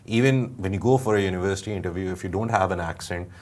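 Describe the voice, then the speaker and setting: A man's voice: sits low, fast, a little mellow. A man in his thirties with a Pakistani accent, talking earnestly and reflectively as co-host of a studio chat. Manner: reflective, earnest, with frequent fillers.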